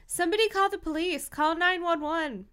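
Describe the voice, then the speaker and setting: Woman putting on a high, hysterical teenage girl. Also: normal speed. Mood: panicked. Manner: shouting, melodramatic. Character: hysterical, accusing teenage girls in a horror story.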